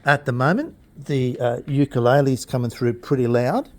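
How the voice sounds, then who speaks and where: A man talking through equipment in an informal tutorial, explaining things in a plain-spoken, unhurried way. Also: mid-range voice. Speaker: instructor demonstrating PA equipment.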